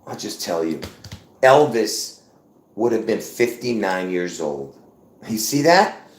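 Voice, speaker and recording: A man's voice: fairly low pitch, big pitch swings, slow. A man in his fifties hosting a live stream, talking in a rambling, insistent way and repeating himself as he addresses viewers as folks. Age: 50s. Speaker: livestream host. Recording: roomy echo.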